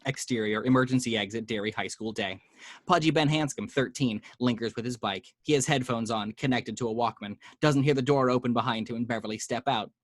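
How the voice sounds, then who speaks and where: A man's voice: mid-range voice, dry recording. Man reading screenplay action lines. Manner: vivid, measured description. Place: at home.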